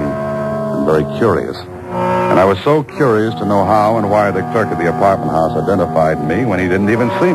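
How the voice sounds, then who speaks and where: A deep, wry hard-boiled hero. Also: male voice. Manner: dry, wisecracking narration. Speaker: lead and narrator. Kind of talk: 1940s radio acting. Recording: noisy old radio broadcast.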